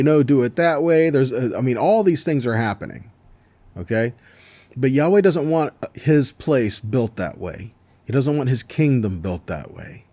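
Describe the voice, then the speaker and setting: Guy doing a casual bible teaching, mellow voice, emphatic, keeps checking in with little questions. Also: mid-range voice, dry recording. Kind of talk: informal teaching sermon with scripture readings.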